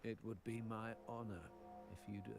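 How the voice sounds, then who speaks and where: A low-voiced, courtly old patriarch. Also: male voice, steady tone, gravelly, over loud music. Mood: courtly, wry. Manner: courtly, unhurried, eloquent. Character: genteel old grandfather, head of a powerful family. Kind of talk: video game voice acting.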